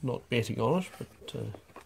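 A man talking through a stamp auction lot in a dry, unhurried way, with wry asides, recorded over a desk. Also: mid-range voice, animated, vocal fry, a little mellow, slight background noise. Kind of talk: off-the-cuff lot walkthrough. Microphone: overhead camera on a desk.

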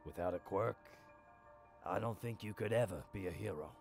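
A man voices a jaded older superhero in a cartoon parody, low and gravelly. He is gruff and bitter, given to theatrical outbursts, with music behind him. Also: normal speed, a little mellow. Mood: weary, resigned. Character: worn-out, bitter, foul-mouthed older male superhero. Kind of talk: animated parody dub.

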